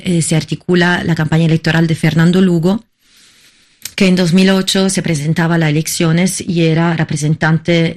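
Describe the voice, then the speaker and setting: A woman from Italy, a filmmaker who is not a Spanish speaker, answering interview questions in a halting way, often searching for words and restarting. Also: sits low, a little mellow. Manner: halting, searching for words, many repeated starts. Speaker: documentary filmmaker, interview guest.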